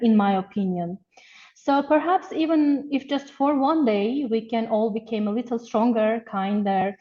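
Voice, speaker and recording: A woman hosting an online event, giving an earnest, measured, formal welcome over a video call. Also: on the high side, warm tone. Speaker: webinar host. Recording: dull, lo-fi video call audio.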